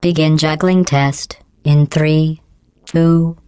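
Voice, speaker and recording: A cold, sarcastic female AI in a video game, calmly handing out insults in a deadpan, condescending tone. Her voice sits low for a woman and sounds smooth and resonant, with a synthetic computer sheen. The recording is dry and close, with no room sound at all. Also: slow, no room sound. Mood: deadpan scornful. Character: cold sarcastic female AI running test chambers.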